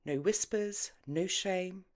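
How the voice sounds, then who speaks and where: A woman's voice: lowish voice, takes it slowly, darkish tone, voice pushed up. Audiobook role: flamboyant, yearning man, read by a woman, mock-pompous and declamatory. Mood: bitter, yearning. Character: theatrical, grandiose, tipsy man yearning for freedom.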